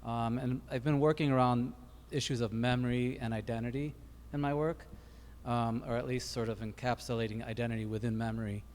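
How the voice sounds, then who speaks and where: A man's voice: medium pitch, steady tone, fairly quick, hardly any pauses, a little mellow, in a large hall, a little hiss or hum. A young man, an art student, hesitantly introducing his work on a panel, with frequent uhs and ums. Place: art gallery.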